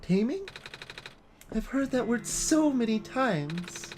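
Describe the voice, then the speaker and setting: A let's player voicing an innocent, curious child hero from a video game, with halting, naive questions. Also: medium pitch, sing-song, darkish tone, a little hiss or hum, voice pushed up. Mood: puzzled, curious. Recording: music behind the voice.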